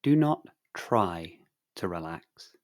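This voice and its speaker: Male meditation guide, calm and soothing, low register, very slow with long pauses.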